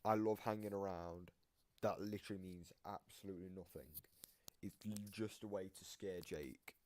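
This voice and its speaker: A man's voice: low voice, fairly even intonation, moderate pace, a little mellow, a little hiss or hum. A man giving casual commentary on game voice lines, explaining his reading of them in a matter-of-fact way.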